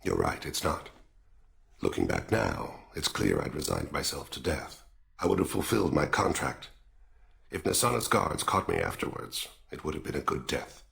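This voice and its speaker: Very deep, gravelly alien assassin. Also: male voice, sing-song, moderate pace, heavily acted. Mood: somber confession. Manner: formal, quietly philosophical. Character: soft-spoken, weary male alien assassin, courteous and reflective. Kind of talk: dramatic video game dialogue.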